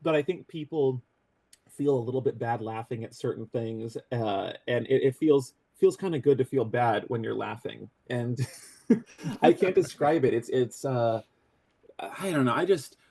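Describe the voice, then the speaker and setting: A man who voices a cartoon character, talking in a casual interview, excitable and crude, joking and restarting often. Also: medium pitch, measured pace, vocal fry, breathy edge. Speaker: voice actor guest.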